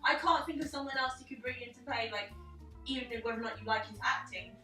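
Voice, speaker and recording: A woman chatting casually about a film, halting as she thinks and leaning on filler words like "like". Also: a little high, bright, forceful tone, far from the mic. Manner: chatty, halting, peppers speech with "like". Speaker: panel member in a film discussion. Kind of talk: casual chat. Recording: echoey room, off the mic, faint music.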